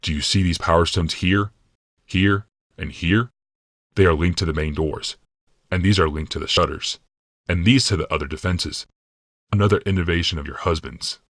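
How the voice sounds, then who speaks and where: A man voicing an imperious, calculating male military ruler in audiobook dialogue, measured, commanding and condescending. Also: low-pitched, a bit bright, no echo, clean studio sound. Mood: proud, calculating.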